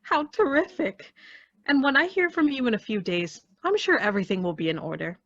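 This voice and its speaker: Woman playing a high, swooping, breezy official. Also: very animated, brisk, fairly bright tone, no echo, lo-fi sound, heavily acted. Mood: breezy, polite. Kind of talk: radio play acting.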